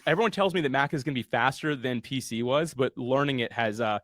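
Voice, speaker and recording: A man's voice: mid-range voice, fast talker, a bit bright, no room sound, clean studio sound. Earnest man hosting a webinar. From USA. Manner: earnest, motivational, casual fillers. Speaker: host. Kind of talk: webinar launch party hosting.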